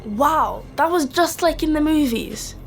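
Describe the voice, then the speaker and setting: A brainy schoolboy in an audio drama. The voice is high, precise and self-important, with music clearly behind it. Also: female voice, expressive, unhurried, heavily acted. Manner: pompous, precise, florid wording. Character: pompous, brainy, wordy schoolboy.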